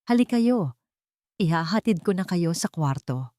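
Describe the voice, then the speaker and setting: A woman's voice: lowish voice, lively intonation, normal speed, mellow, no echo, studio quality, natural voice. Woman acting out a scornful, two-faced daughter-in-law. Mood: falsely caring. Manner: mocking or sugary-false.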